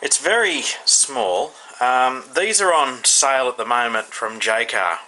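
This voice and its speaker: A man with an Australian accent giving a casual, unscripted hands-on product review, haltingly thinking aloud. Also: medium pitch, animated, bright, forceful tone, poor audio. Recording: faint background noise.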